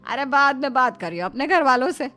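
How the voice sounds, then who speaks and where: Woman doing a shrill, bossy village mother-in-law. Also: lively intonation, a little fast, soft background music. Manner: calls out loudly, sharp commands.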